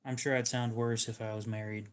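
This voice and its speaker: Man, monotone, flat deadpan delivery, mid-pitched, faint background noise. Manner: dry, self-deprecating, unhurried. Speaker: livestream host. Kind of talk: casual chat.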